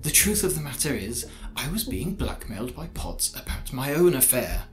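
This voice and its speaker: A man playing a formal, guarded college teacher in an audio drama, choosing measured words. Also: mid-range voice, very animated, lightly acted. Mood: defensive. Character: male college science teacher, defensive, formal, secretive adulterer. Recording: music behind the voice.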